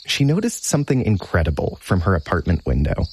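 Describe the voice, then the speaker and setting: Guy narrating a radio nature bit, low voice, bouncy delivery, light hiss. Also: wildly swooping pitch, brisk, vocal fry, a little mellow, husky, rough recording. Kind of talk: scripted narration.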